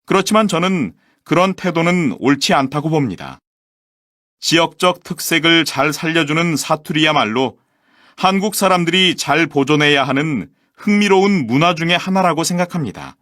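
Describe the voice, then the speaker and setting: A man with a somewhat high voice reads a scripted textbook presentation in a clear, measured and formal way. The recording is close and dry, with no room sound. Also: animated, a bit bright, no room sound. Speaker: textbook audio voice actor. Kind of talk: scripted language-lesson reading.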